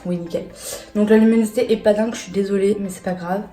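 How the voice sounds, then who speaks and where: A young woman with a hoarse voice, chatting casually to camera in a beauty vlog, full of slang and swearing. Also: medium pitch, fairly even intonation, quick pace, mellow, soft background music. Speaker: beauty vlogger.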